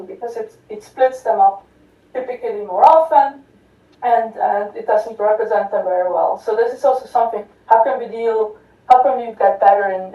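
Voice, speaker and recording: A woman giving an academic conference talk, with many fillers and restarts, often checking in with "right?". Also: mid-range voice. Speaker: conference speaker. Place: lecture hall. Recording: distant echoey lo-fi hall recording.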